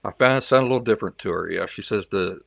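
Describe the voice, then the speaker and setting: A man's voice: fairly low pitch, fast, gravelly, dry recording. A man hosting a livestream, chatting casually with viewers and reacting to their comments, sometimes switching into learner's Spanish. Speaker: livestream host.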